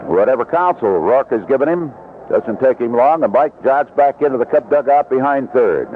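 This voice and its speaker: A man's voice: medium pitch, expressive, normal speed. Old 70s radio baseball play-by-play guy, voice swings up and down, thin lo-fi sound, bit of noise. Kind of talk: live radio sports commentary. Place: outdoor ballpark broadcast position. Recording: vintage 1970s radio broadcast, lo-fi, noisy.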